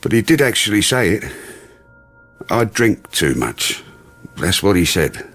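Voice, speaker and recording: A man's voice: on the low side, sing-song, measured pace, a bit bright, faint music behind, full character voice. An actor playing a gossipy, morbid British male neighbour in a radio drama, rambling with insinuating asides. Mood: confiding, gossipy. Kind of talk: naturalistic radio drama acting.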